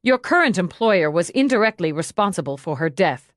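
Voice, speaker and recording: Woman narrator as tough female lieutenant, confrontational, relentless questioning, lowish pitch, dry audiobook sound. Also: big pitch swings, a little fast, dry recording. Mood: cold, relentless. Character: hard, relentless female police lieutenant interrogating a suspect. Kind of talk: audiobook character dialogue.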